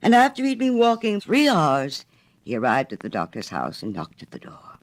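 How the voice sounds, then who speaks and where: A woman's voice: deep voice, wildly swooping pitch, normal speed. A woman reading a literary story aloud in a theatrical manner, with dramatic phrasing. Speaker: storyteller, reader. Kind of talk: expressive literary storybook narration.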